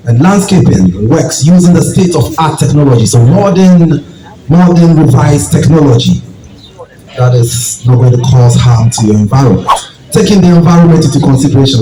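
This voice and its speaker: A man introducing speakers at an event in a formal, halting way. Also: medium pitch, dark tone. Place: event venue with audience. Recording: distant, echoey room with faint music.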